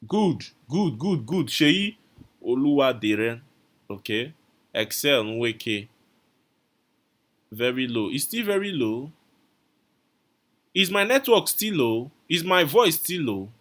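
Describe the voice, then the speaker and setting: A man's voice: medium pitch, big pitch swings, slow pace, pauses often, a bit bright. A man teaching a live online coding class, repeating his instructions and calling out viewers' names from the chat. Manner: repetitive, coaxing, calls out viewer names.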